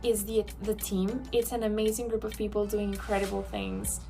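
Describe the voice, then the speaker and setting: A woman's voice: medium pitch, level tone, normal speed, warm tone, soft background music. A young woman from Mexico, giving an enthusiastic testimonial as a former international student.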